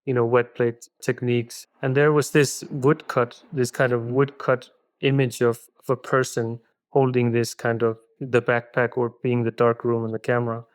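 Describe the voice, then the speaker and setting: A middle-aged man whose first language is Icelandic, giving thoughtful, halting interview answers full of restarts. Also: medium pitch, level tone, no room sound. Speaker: film director guest.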